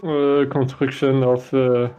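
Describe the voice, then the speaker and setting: Slow, hesitant French-accented man. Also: mid-range voice, level tone, warm tone, clean tone, highs cut off, faint music behind. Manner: hesitant, many fillers and restarts. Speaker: game developer. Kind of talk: casual dev stream chat.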